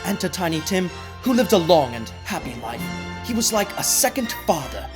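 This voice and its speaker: A teenage boy narrating the close of a school stage play in a warm, measured storytelling manner. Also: sits high, big pitch swings, over music. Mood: reflective. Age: teens. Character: male storyteller closing the tale.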